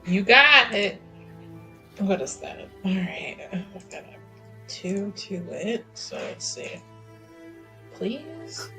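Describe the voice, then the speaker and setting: A woman chats as a player in a tabletop game. Her pace is slow as she thinks aloud in a playful, casual way, with soft music in the background. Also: medium pitch, lively intonation.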